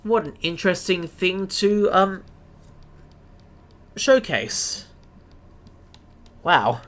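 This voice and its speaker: An Australian man hosting a live stream, chatting casually with viewers and often pausing to search for the right word. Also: sits high, animated, a bit bright. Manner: chatty, searches for words, self-deprecating.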